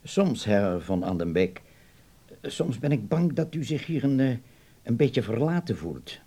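Radio drama: older philosophical landlord; relaxed dark tone, measured, courteous, slightly hesitant, fatherly. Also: mid-range voice, slightly rough. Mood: grave, concerned. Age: middle-aged or older. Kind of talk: radio play acting.

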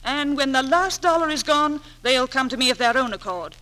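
An actress playing a blunt, domineering middle-aged aunt with a cutting, imperious manner in a 1940s radio drama. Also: female voice, high-pitched, expressive, moderate pace, sharp tone. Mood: cold, threatening. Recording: noisy 1940s radio broadcast.

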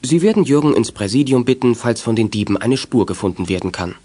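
A man's voice: mid-range voice, fast talker, warm tone. A man narrating a children's audio drama as a scripted storyteller.

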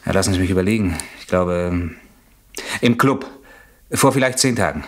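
An actor playing an evasive, defensive gentleman under police questioning in a German radio crime play, formal and hesitant with a husky voice. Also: low-pitched, animated, moderate pace.

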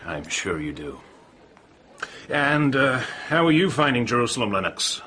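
An actor playing a genial family friend in a radio drama, hearty, polite and ingratiating. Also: male voice, medium pitch, very animated, unhurried, pushed and bright, a little hiss or hum. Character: genial, smoothly persuasive family friend on holiday.

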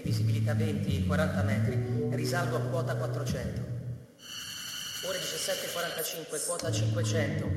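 An actor as a distant pilot, heavy echo. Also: male voice, a little high, close to an everyday voice. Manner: terse, factual, procedural. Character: solitary male pilot dictating a flight log. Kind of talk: clipped log report in radio drama. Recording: very echoey, distant, loud music over voice.

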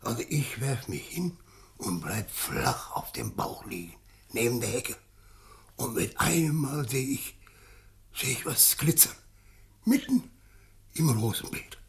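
Aufgewühlter, stockend erzählender Mann vom Dorf. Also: male voice, medium pitch, very animated. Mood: shaken, awed. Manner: halting, stammering, insistent eyewitness telling. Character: shaken, tipsy village man recounting an uncanny sighting. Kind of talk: German radio play acting.

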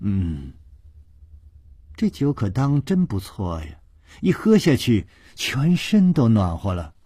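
A man plays a young princess in a storybook tale, spirited and quick to flare up. The voice is husky and leaps up and down as the princess teases, pouts and exclaims. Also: mid-range voice, huge pitch swings, mellow, no room sound, clean studio sound, exaggerated voice. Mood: smug, teasing. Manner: petulant, exclaiming, stammering when upset.

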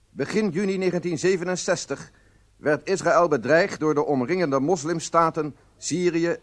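An actor playing a proud, solemn head of a secret service, narrating a radio drama in a formal, measured way. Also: male voice, on the high side, a bit bright. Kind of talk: scripted first-person radio drama narration.

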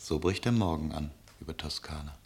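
An actor playing a dreamy, poetic traveller in a radio play, telling his tale in a hushed, lyrical, measured way. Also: very deep voice. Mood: dreamy, rapt.

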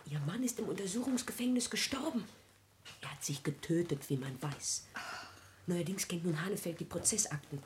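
A woman's voice: fairly low pitch, animated, normal speed, background noise, natural voice. An actress playing a venomous, haughty upper-class woman in a classic radio play, speaking with cutting, precise emphasis. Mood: accusing.

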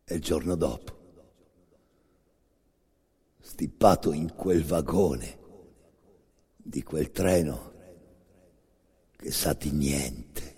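A man narrating a dramatic second-person theatre monologue with intense, halting delivery in short phrases. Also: a bass voice, mellow, slightly rough. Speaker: actor-narrator. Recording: long hall reverb.

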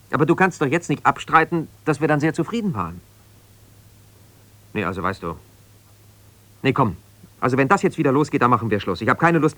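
An actor playing an embittered, ambitious lawyer husband who talks in an argumentative, colloquial way in a naturalistic radio play. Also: male voice, medium pitch, fairly bright tone, no room sound. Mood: exasperated. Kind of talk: naturalistic radio play, one side of a phone call.

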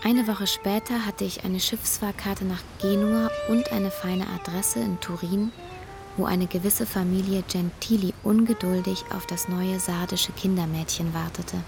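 Woman narrating her youth in a radio play, reflective, measured, faint music behind. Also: mid-range voice, steady tone, gravelly. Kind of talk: scripted first-person radio drama narration.

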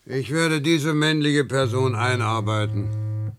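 A man playing a dutiful worker citizen in a 1970s science-fiction radio play, formal and measured. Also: mid-range voice, close to an everyday voice. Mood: obedient, formal. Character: dutiful, regimented male worker citizen of a dystopia. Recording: noisy old radio drama recording.

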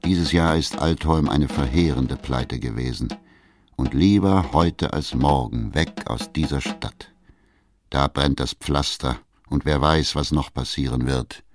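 A man narrating a radio drama in a grave, measured, ominous manner. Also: extremely low pitch. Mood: grave, foreboding. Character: grave, deliberate male narrator of a novel. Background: percussive music.

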